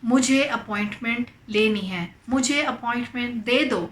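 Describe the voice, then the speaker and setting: A young woman with a bright, clear voice pitched a little high teaches vocabulary in a patient, steady way. Her English explanations are slow and careful, and her example sentences come out quickly. The room around her rings with a noticeable echo. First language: Urdu. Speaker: language teacher. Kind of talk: online language lesson.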